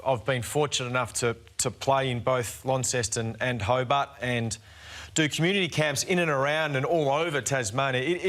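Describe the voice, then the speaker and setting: A man's voice: mid-range voice, fairly bright tone. An Australian man talking casually as a sports panellist, informal and a little hesitant as he works through his thoughts. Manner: hesitant, informal, self-deprecating. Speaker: panellist, former player.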